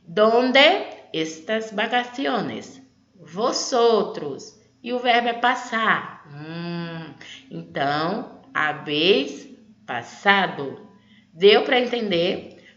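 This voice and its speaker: A woman's voice: mid-range voice, bright, forceful tone. A Brazilian woman giving an online grammar lesson as a language teacher, explaining didactically and often checking that students follow. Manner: didactic, checks understanding with short tag questions. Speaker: Spanish teacher. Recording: echoey room, slightly dull audio.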